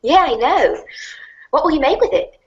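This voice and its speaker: A woman's voice: high-pitched, expressive, normal speed. A woman voicing a chirpy, teasing teenage girl in a dramatic reading of a webcomic script. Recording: dull lo-fi home recording.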